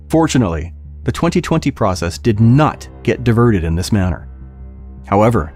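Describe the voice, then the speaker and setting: A man's voice: mid-range voice, darkish tone. A man reading a formal policy document aloud as a scripted podcast narration.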